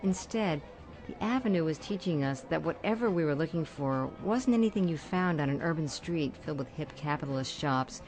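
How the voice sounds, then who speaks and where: A woman's voice: low voice, lively intonation, fairly quick. An older woman with an American accent narrates a scripted personal story as a radio host. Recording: guitar music behind voice. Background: guitar music.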